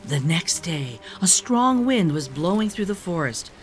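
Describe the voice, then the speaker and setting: A woman narrating a children's picture book in an expressive, careful storytelling manner. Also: lowish voice, a little slow, faint music behind. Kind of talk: expressive storybook read-aloud.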